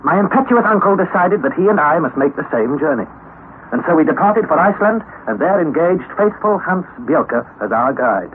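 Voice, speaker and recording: A man's voice: on the high side, big pitch swings, moderate pace. An actor playing an anxious young student who recounts his expedition in earnest, formal, dramatic narration for a radio play. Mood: grave, recounting. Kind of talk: dramatized radio narration of a classic adventure novel. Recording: noisy, narrow-band old radio recording.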